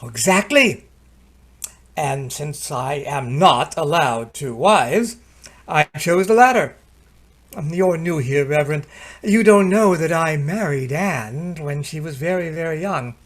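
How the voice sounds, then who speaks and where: A man's voice: a little high, lively intonation, slow. A man playing a jovial, opinionated Edwardian husband in a radio drama, pompous, genteel and teasing. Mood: self-satisfied. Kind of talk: old-time radio drama acting.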